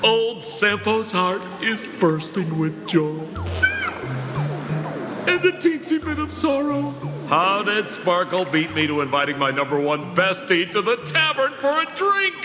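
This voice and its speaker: A mischievous, theatrical con man from a video game. His delivery swings widely in pitch, chummy and teasing. He sounds in an echoing, reverberant space with music playing behind. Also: big pitch swings, unhurried. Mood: gleefully chummy. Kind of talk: video game character acting.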